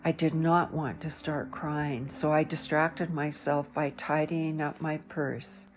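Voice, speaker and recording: A woman reading a first-person audiobook in a measured, reflective way. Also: low voice, level tone. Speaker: audiobook narrator.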